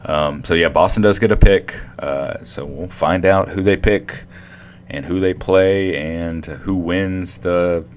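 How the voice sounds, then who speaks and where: Low-voiced man, easygoing podcast co-host, faint hum behind. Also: slow. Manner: laid-back, agreeable, frequent yeahs. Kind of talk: casual esports podcast chat.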